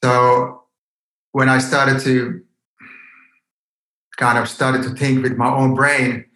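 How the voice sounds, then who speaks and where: A Finnish man in his fifties speaks at a slow, unhurried pace as an interview guest. He searches for his words in accented English, and the room adds a noticeable echo around his mid-pitched voice. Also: little pitch movement, bright, forceful tone. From Finland. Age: 50s. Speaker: podcast guest, yoga teacher. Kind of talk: unscripted interview conversation. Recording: roomy echo on the voice.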